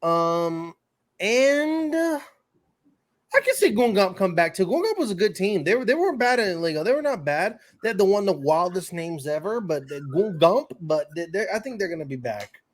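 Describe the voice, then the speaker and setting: Slangy blunt guy doing sports banter on a podcast, slightly high voice. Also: big pitch swings, normal speed. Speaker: podcast guest.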